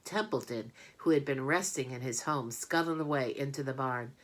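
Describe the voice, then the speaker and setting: A woman with a low voice reads a children's storybook aloud. Her delivery is scripted narration with plenty of expression. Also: moderate pace.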